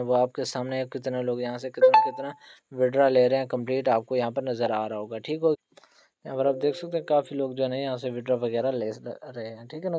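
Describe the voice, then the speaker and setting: A man's voice: mid-range voice, dark tone, no room sound. A man hosting an online tutorial, narrating step by step in an instructional way with frequent tag questions. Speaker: host of an online earning tutorial channel. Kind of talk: screen-recorded tutorial narration.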